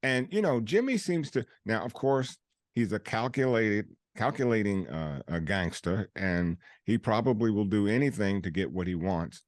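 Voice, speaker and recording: Low-voiced, halting male host. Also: animated, dry recording, clean studio sound. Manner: halting, repeats words, enthusiastic. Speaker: interview host. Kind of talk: casual interview chat.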